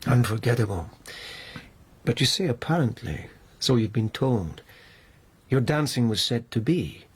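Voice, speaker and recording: An actor in a radio drama playing a formal police lieutenant who questions a suspect in a deliberate, insistent way. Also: male voice, mid-range voice, expressive, husky. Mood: dogged questioning.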